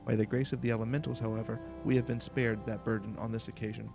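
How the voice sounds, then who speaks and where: Guy reading out a grave courteous fantasy game elder, formal n measured, bit gravelly, faint music. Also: on the low side, little pitch movement.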